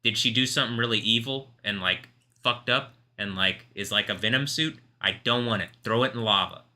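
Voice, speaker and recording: A man hosting a live stream, talking in an intense, rambling way, confrontational at times and soft-spoken at others. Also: on the low side, brisk. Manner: calls himself soft-spoken. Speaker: livestream host.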